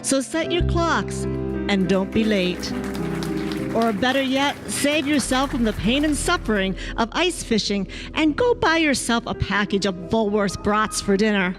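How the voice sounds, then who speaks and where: Female, high voice, cheery old-time radio pitchwoman, over loud music. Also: animated, unhurried. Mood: cheery, selling. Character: cheery, helpful female announcer of a spoof sausage advert. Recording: prominent music under the voice.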